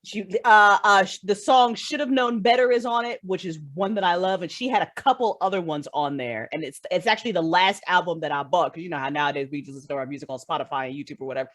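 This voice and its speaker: A woman chatting casually as a podcast guest, informal and effusive, quick to laugh. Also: on the low side, a bit bright, no echo, clean studio sound. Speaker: podcast guest, writer and audio fiction creator. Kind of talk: casual chat.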